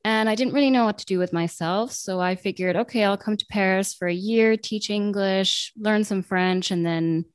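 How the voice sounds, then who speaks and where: A woman's voice: medium pitch, moderate pace, few pauses, clear, resonant tone, no echo, clean studio sound. Chatty woman in her late twenties. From born in Italy, raised in Italy, the US and Ireland. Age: late 20s. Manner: chatty, with frequent ums and self-corrections. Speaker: guest, translator and radio journalist. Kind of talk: casual interview answers.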